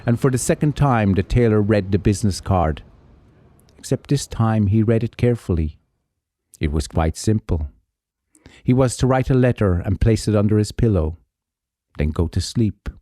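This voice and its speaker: A man narrating a folk tale as a storyteller, measured and theatrical, with deliberate pauses. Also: on the low side, mellow, no echo, studio quality. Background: music under the voice.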